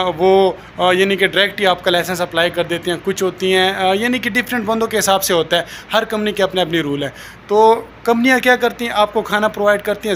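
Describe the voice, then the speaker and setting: A man's voice: sits high, a bit bright. A young man from South Asia explaining things informally to camera as a vlogger, speaking into a phone held at arm's length. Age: young adult. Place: open rooftop outdoors in daylight. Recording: outdoor ambient noise.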